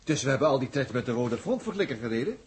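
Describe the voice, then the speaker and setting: An actor playing a blunt, skeptical spaceman in a 1960s Dutch radio drama, informal and brisk. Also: mid-range voice, big pitch swings, breathy edge, heavily acted. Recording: old radio recording with noticeable noise.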